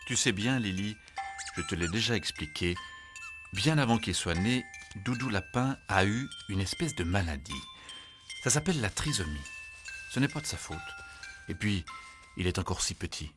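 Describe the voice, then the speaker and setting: A man voicing a patient, gentle mother rabbit who explains soothingly in a children's storybook. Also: on the low side, animated, moderate pace, over music, lightly acted.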